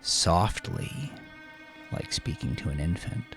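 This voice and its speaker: A man's voice: sits low, very animated, slow, fairly bright tone, soft background music. A man narrating crime fiction as a dramatic scripted audiobook.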